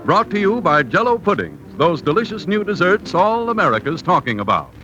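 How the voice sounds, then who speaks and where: A man works as a 1930s radio announcer, animated and bright, with a fairly high voice. Brass music plays on the dull old recording. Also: a little fast.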